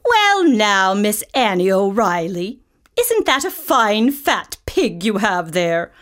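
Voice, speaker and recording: A woman's voice: mid-range voice, big pitch swings, slow, a bit bright. A woman voicing a hearty middle-aged butcher who coaxes a girl to sell her pig. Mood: coaxing. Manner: hearty and coaxing.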